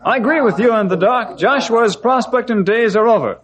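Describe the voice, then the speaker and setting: A western storekeeper in an old radio drama. His voice sits a little high. He speaks plainly and sensibly. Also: expressive, normal speed, crowd noise. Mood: concerned.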